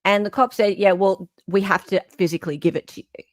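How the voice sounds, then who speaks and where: A woman's voice: medium pitch, fairly even intonation, fairly quick, dry recording, studio quality. A woman with an Australian accent hosting a casual commentary, thinking aloud and rephrasing as she works through details. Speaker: host.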